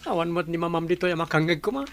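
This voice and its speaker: A man's voice: fairly high pitch, fairly even intonation, racing, close to an everyday voice. An actor playing a kindly, chatty old working man in a radio comedy drama, with a folksy manner. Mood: deferential, amused. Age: older.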